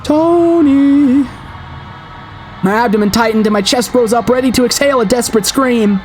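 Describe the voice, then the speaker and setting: A high-pitched male voice performs dramatic horror narration from a video game. He reads theatrically, building suspense, with music playing softly behind him. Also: fairly even intonation, quick pace, low-quality recording.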